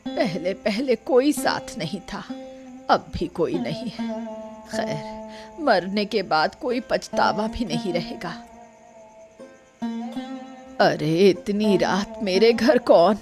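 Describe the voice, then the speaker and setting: A woman voicing a weary, lonely, kindly old village woman in a cartoon story, with a slow, resigned manner. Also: medium pitch, lively intonation, music in the background. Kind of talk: Hindi moral-story cartoon dubbing.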